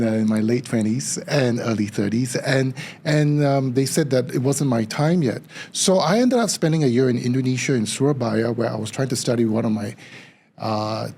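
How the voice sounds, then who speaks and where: A man, a feng shui consultant telling his story as an interview guest, animated and acting out what others said. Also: medium pitch. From Singapore. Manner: animated storyteller, acts out quoted remarks with a lifted voice.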